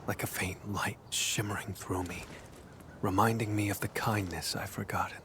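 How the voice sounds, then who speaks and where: An actor playing a brooding, solemn male warrior in a video game, earnest and devoted, speaking in measured, formal, hushed and intimate phrases. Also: lowish voice, fairly even intonation, noisy background, lightly acted. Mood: hushed, reflective. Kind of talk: video game story dialogue acting.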